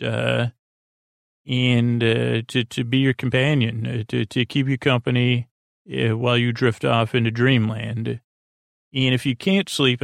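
Man, gravelly creak, soothing sleepy rambler, hesitant phrasing, bedtime podcast host, close dry sound. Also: medium pitch, no echo. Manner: meandering, hesitant, stop-start.

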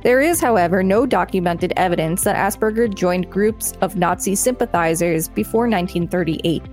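A woman's voice: fairly low pitch, moderate pace, a little mellow, soft background music. A woman co-hosting a podcast, reading an article aloud steadily, with playful quips.

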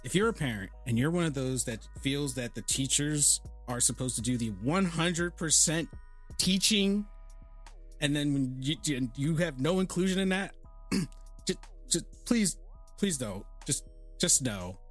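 High, animated exasperated man. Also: unhurried, faint music behind. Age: 30s. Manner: exasperated, rambling with stuttered restarts. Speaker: podcast host. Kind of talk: casual group chat.

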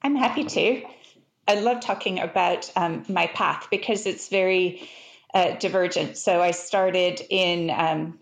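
A woman with a fairly low voice speaks earnestly on a panel, taking her time over each point. The room around her is echoey. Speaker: panelist, tech industry leader. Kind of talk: panel discussion.

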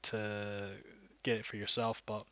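A man's voice: on the low side, monotone, unhurried, sharp tone, smooth, no echo. A man, a metal band guitarist, chatting casually as a podcast guest, rambling with frequent restarts and fillers. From Scotland.

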